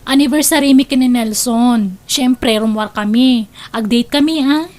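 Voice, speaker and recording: A woman's voice: on the high side, a little slow, darkish tone, natural voice. A woman acting a young, dutiful and affectionate daughter in an audio drama. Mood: cheerful.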